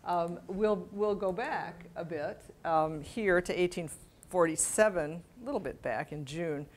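An older woman speaks to a seated audience as a guest lecturer, her voice sitting a little low. She reads from letters with measured precision and comments informally as she goes. She is miked at the lapel in a library meeting room. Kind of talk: public lecture with letters read aloud. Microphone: lapel mic.

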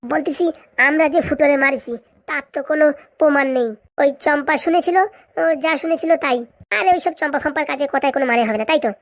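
High, rapid-fire scheming village woman played by an actress. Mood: scheming, bickering. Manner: chatty, bickering, colloquial. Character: scheming, greedy, bickering village women in cartoon comedy. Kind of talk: comedy cartoon voice acting.

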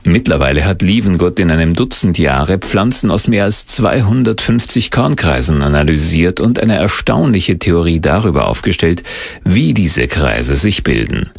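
Male documentary narrator, low and gravelly, scripted delivery with animated intonation. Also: moderate pace.

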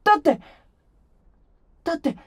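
A man playing a gentle, shy, earnest teenage boy idol in an anime-style voice drama, speaking softly and hesitantly. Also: measured pace, natural voice. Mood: earnest, pleading. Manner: soft, hesitant, sincere delivery.

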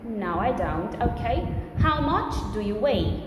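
A woman's voice: a little high, lively intonation, takes it slowly. A woman in her thirties from Belarus teaching English, asking simple short questions and repeating answers encouragingly. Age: 30s. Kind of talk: spoken English drill with a student. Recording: echoey room with loud ambient noise.

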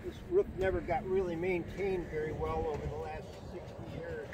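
A man's voice: a little high, fairly even intonation, normal speed, a crowd in the background. A man in his seventies chatting plainly about the repair work he is doing on a hangar roof outdoors, picked up by a camera some way off. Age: 70s. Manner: plainspoken, explains his handiwork while working outdoors. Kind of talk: casual chat while working. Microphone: filmer's camera some distance away.

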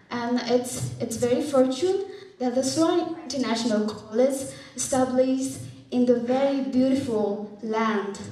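A young woman from Nepal giving an earnest student welcome speech into a handheld mic at a conference, halting now and then with fillers. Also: a little high, mellow. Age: young adult. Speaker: student presenter. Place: conference hall. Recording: echoey hall from far off.

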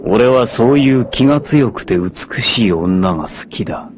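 An actor playing an arrogant, obsessive warlord villain in a 1980s anime, haughty, menacing and theatrical in delivery. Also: male voice, medium pitch, animated, measured pace, muffled recording, slight background noise, lightly acted. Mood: gloating arrogance. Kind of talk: dramatic 1980s anime voice acting.

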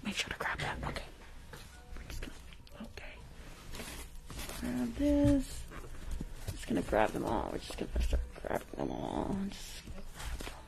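A young woman playing a rushed, shady dentist in an ASMR roleplay, hurried and bossy, repeating herself in a breathy whisper. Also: fairly high pitch, huge pitch swings, noisy background, heavily acted. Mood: brisk, smug. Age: young adult. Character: rushed, shady female dentist stealing patients' teeth. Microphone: close microphone with earphones.

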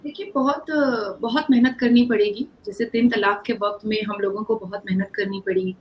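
A woman's voice: mid-range voice, fairly even intonation, moderate pace, slight background noise, poor audio. A woman from India, an activist guest, speaking earnestly and insistently in an online video-call interview, often restarting her sentences. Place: indoor home room, video call.

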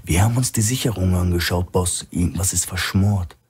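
A man's voice: low voice, brisk, a little mellow, husky. A worried masked henchman, played by a man narrating. He speaks huskily, as an underling reporting to his boss in a crime thriller audiobook.

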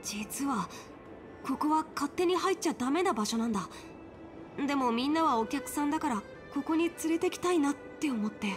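A spirited young girl swordfighter from a video game. Her voice is high and swoops freely, cheeky and pouty in an informal way, with faint music behind. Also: expressive, normal speed. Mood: sheepish.

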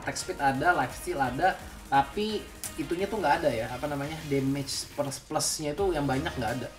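A young man giving casual, slangy gameplay commentary, explaining things as he goes and often addressing his viewers. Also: fairly high pitch. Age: young adult. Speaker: gaming video creator and commentator. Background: music.

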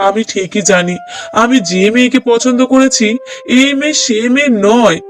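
A man's voice: very high voice, normal speed, fairly bright tone, soft background music. A man voicing an insistent, lovestruck young son in a family cartoon, earnest and pleading. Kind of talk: Bengali moral-story cartoon dubbing.

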